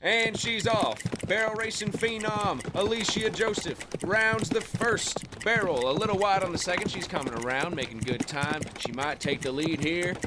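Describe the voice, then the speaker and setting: A man's voice: sits high, very noisy. A man acting in a radio play as a young, teasing but guarded uncle. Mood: excited mock announcing. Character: young Texan uncle, teasing, guarded former bull rider.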